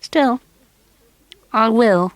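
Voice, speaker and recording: A woman voicing a proud, cold-hearted little girl in a storybook, high and prim. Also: drawn-out words, no echo. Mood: resolute.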